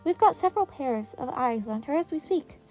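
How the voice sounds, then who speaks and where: A woman's voice: sits high, expressive, a little fast, a little mellow, soft background music, pitched above the performer's own voice, low-quality recording. A woman voicing a small, shrewd, composed male mouse principal in an audio story, speaking formally and reassuringly.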